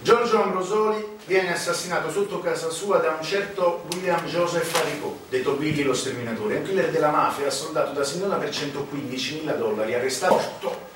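A man's voice: a little high, natural voice. A man playing a sardonic stage narrator who recounts scandals in a rhetorical, conversational monologue. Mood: grave. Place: echoey theatre stage. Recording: very echoey theatre, far mic, noisy.

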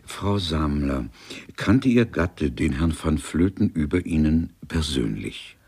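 An actor playing a formal, dryly ironic police detective in a German radio crime comedy, speaking in a courteous, deliberate way. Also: male voice, rumbling low, lively intonation, darkish tone, heavily acted. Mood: formal, probing. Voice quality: gravelly, husky. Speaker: police investigator questioning a witness.

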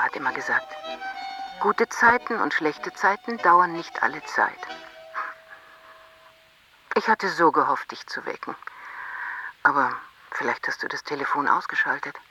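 A woman's voice: deep voice, sing-song, unhurried, long pauses, pushed and bright, heavily acted, poor audio. An actress playing a tender, worried wife who confides hesitantly in her husband on a late-night call, in a German radio drama. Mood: wistful. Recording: music behind the voice.

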